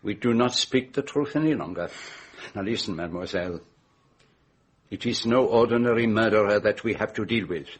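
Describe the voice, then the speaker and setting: An actor playing an older, self-assured Belgian detective with a French-speaking accent, formal, precise and deliberate in a radio drama. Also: male voice, fairly low pitch, breathy edge. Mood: courteous, insistent. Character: courteous, shrewd, self-assured older foreign male detective.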